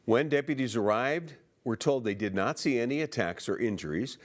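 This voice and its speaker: Lively American male news anchor. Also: mid-range voice, normal speed. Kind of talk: scripted broadcast news delivery.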